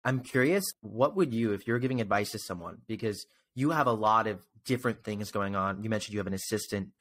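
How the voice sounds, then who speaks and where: A man's voice: on the low side, a little fast, a bit bright. A young man speaking as an interview host, asking questions in a casual style, close to a desktop microphone. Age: young adult. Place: indoor room, on a video call. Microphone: desktop microphone close to the mouth.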